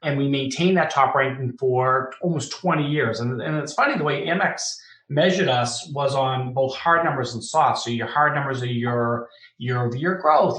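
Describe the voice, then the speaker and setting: A middle-aged man with a Boston accent, a podcast guest telling business stories in a lively, chuckling way. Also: mid-range voice. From Boston, USA. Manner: animated, chuckles through anecdotes. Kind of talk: casual interview storytelling. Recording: roomy echo.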